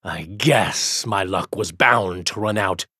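A man playing a gruff, cunning, theatrical reptilian alien bounty hunter with a raspy, gravelly voice in a video game. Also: sits low, takes it slowly, bright, forceful tone, dry recording, studio quality, exaggerated voice. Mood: sly, chatty. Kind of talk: video game character acting.

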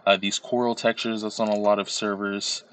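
A man with a low, fairly monotone voice, stuffy and slightly nasal from a lingering cold. He talks through a computer tutorial at an easy pace, filling pauses with ums and uhs, over a faint hiss. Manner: relaxed, step-by-step, with frequent um and uh. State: recovering from a cold, a little nasal. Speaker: tutorial creator. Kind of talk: screen-recorded software tutorial.

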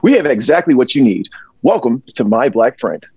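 A man in his thirties playing a slick, upbeat concierge pitching a service at a script read, with a husky voice. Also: very animated, normal speed, a little mellow, no echo, highs cut off. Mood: salesy. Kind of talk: comedic sales pitch, table read. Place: indoor office or storeroom. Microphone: wireless earbuds, video call.

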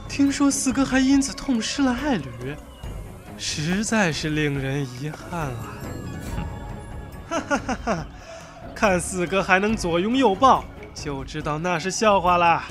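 An actor playing a mocking young prince in a Chinese costume audio drama, sneering with false politeness. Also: male voice, high-pitched, sing-song, unhurried. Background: background music.

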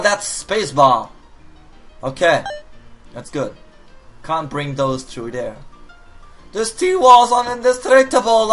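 A man casually commenting while he plays a puzzle game, reading the hints aloud and joking as he goes. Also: fairly high pitch. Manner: animated, reads on-screen hints aloud, jokey outbursts. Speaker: gaming video host. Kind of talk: casual let's-play commentary.

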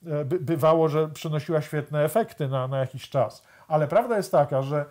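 An older man, an author, speaking in a TV studio discussion, thoughtful and halting, with frequent hesitations and restarts. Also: a little high, a little mellow. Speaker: author and guest.

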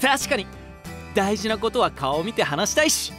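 A man's voice: sits high, big pitch swings, moderate pace, sharp tone, faint music behind, exaggerated voice. An actor playing an earnest, polite young male rock vocalist in an anime voice drama, serious and youthful in manner. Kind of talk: Japanese anime voice drama acting.

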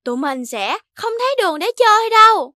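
A woman voicing a perky, sensible little animal child in a children's cartoon, expressive and childlike, with music behind. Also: very high voice, a little fast, dry recording, studio quality, heavily acted. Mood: earnest.

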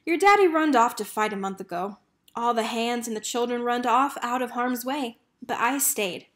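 Woman playing a tender, folksy, devout mother, higher-pitched. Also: moderate pace, fairly bright tone, lightly acted. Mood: joyful, devout. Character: loving, devout Southern mother in wartime.

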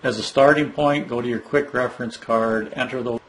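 A man's voice: mid-range voice, steady tone, normal speed, poor audio. A man giving a plain, step-by-step walkthrough of a monitor's setup screens, speaking from behind a handheld camera in an indoor room. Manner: plain, instructive, some fillers and restarts. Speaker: product trainer.

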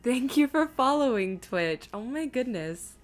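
A woman giving casual live game stream commentary, chatty and thinking out loud as she reads things aloud. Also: sits high, very animated, measured pace, soft background music.

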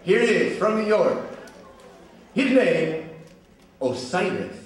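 A man hypes up a crowd from a stage, his high voice swinging wildly up and down and stretching each word out slowly. The hall rings with heavy echo, and he is picked up from far off, with a noisy audience around him. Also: sing-song. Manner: hyped, drawn-out announcing. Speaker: announcer introducing the guest. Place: high school auditorium stage. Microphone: handheld microphone. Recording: echoey auditorium from far off, crowd.

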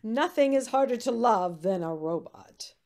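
Radio drama manager, female actor: curt, businesslike, fairly high, very varied pitch. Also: expressive, measured pace, darkish tone. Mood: dismissive. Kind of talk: old-time radio drama acting.

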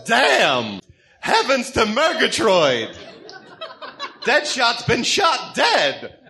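High, manic clown villain. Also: male voice, wildly swooping pitch, drawn-out words, bright, forceful tone, exaggerated voice. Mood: exasperated, amused. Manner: theatrical, mocking, wisecracking. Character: manic cackling clown villain, giggling and sleazy. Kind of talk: comic radio drama acting.

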